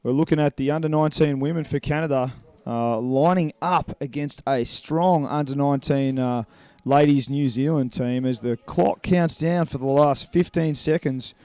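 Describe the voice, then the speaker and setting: A man's voice: a little high, normal speed, darkish tone, smooth, dry recording. A man giving live play-by-play commentary from a commentary box at an indoor pool, chatty and rambling with frequent fillers. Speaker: sports commentator.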